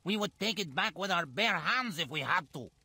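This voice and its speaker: Fired up village rebel in a game, raspy high voice, reckless n forceful. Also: male voice, animated, moderate pace, heavily acted. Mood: defiant, eager. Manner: impassioned, forceful. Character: fervent male village resistance fighter, reckless, proud. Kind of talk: scripted video game cutscene acting.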